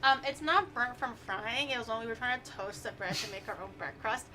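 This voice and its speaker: A woman whose first language is Mandarin, hosting a casual livestream chat in a home kitchen as a lively storyteller who laughs often. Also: a little high, brisk, a little hiss or hum. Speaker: streamer host.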